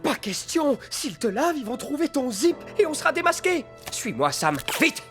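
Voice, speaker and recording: A hapless, scheming little cartoon critter, very high-pitched and wildly swooping, with an exaggerated delivery over clear background music. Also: female voice, sing-song, moderate pace. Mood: urgent. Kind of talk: animated cartoon dubbing.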